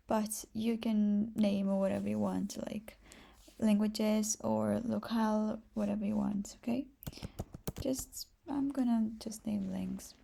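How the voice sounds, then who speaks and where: A soft-spoken woman with a Brazilian accent, a native Portuguese speaker, giving a casual step-by-step screencast tutorial. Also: medium pitch, vocal fry. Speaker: programming tutorial host.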